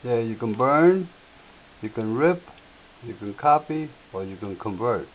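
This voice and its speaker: A man with a slightly raspy voice, hosting a software tutorial and explaining each step in an unhurried way with filler words. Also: medium pitch, animated. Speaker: tutorial host. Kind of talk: screen-share software tutorial. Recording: tinny lo-fi audio with faint hiss.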